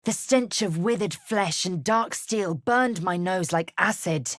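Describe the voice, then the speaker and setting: An actress playing a fierce, haughty young githyanki warrior woman in a video game, with a husky, raspy voice and a clipped, imperious, disdainful delivery. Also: on the low side, slow pace, no echo, clean studio sound. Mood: solemn, measured. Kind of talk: scripted video game voice lines and narration.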